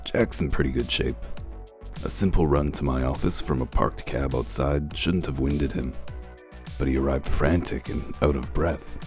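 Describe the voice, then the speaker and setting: A man playing a gruff, dry wolf detective who explains things in a deliberate, self-assured way in a comic dub. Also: extremely low pitch, darkish tone. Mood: smug, methodical. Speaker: detective character and first-person narrator. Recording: music under the voice. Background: background music.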